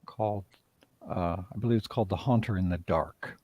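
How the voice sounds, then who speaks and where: A man's voice: deep voice, fairly quick, slightly rough, highs cut off. A man talking casually as a playwright in a live discussion, rambling and often interrupting himself. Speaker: playwright and director, interview guest.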